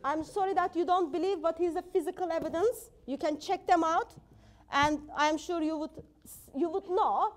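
A woman's voice: very high voice, normal speed. A woman in her thirties, not a native English speaker, firmly rebutting an opponent in a live debate, speaking in a plain indoor room. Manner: assertive, challenging, non-native phrasing.

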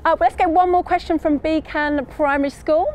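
A woman's voice: sits high, steady tone, normal speed, a little mellow. A woman in her thirties presenting a live online farm field trip for schoolchildren in an upbeat, encouraging way, recorded on a lapel mic in a polytunnel. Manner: upbeat, encouraging, addresses children.

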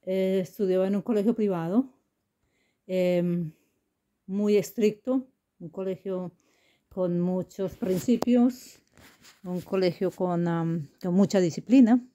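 An older woman with a Colombian accent answering casual interview questions in a halting, affectionate way. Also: on the low side, dark tone.

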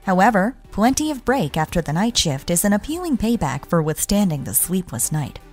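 A woman reading scripted explainer narration in a measured, instructional way. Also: medium pitch, very animated. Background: background music.

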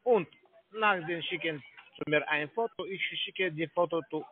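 A man with a foreign accent making a sales pitch on a phone call in broken, halting German. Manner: broken halting German, many restarts and fillers. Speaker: scam call center agent. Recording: tinny phone line.

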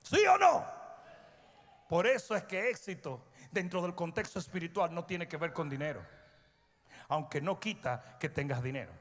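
A middle-aged male pastor preaching a sermon on a large church stage, swinging from hushed asides to shouted peaks and calling on the crowd to answer. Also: on the high side, expressive, normal speed. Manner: prompts the crowd for responses. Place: large church stage before a seated audience. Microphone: handheld microphone. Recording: long hall reverb with faint music.

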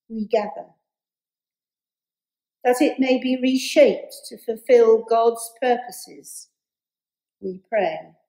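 Older female English cleric, measured and mellow, slightly high voice, scripted worship, roomy church acoustic. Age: 60s or older. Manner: measured, deliberate phrasing. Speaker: Anglican priest leading worship. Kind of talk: scripted church welcome and prayer. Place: inside a stone church.

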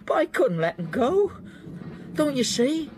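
An actor playing a tormented, raving deserter soldier in a radio drama, with a manner that veers between whispers and cries. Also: male voice, extremely high pitch, sing-song, measured pace, slight background noise, heavily acted. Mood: defensive confession. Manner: feverish, swinging from whispers to cries.

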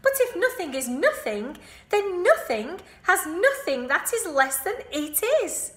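Storybook pig, young and friendless, high wailing whine, voiced by a woman reader. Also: very animated, a little slow, fairly bright tone, echoey room, heavily acted. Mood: indignant. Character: young male pig, lonely and whiny.

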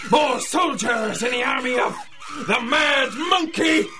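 Shrill, gloating mad supervillain. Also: male voice, expressive, slow pace, raised voice, full character voice. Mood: gloating, manic. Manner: theatrical, gloating, cackling. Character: gleeful mad supervillain, self-styled brother to monkeys. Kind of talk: 1930s-style radio adventure acting. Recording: noisy animal ambience behind the voice.

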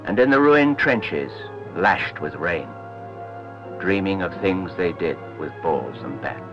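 Man, very low pitch, solemn literary reading, reflective, music clearly behind, documentary. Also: a bit bright. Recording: dull old soundtrack, music behind.

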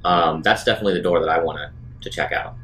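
A man's voice: deep voice, fast talker, bright, forceful tone, slight background noise. A man playing a tabletop roleplaying game, talking casually as he describes moves and asks questions.

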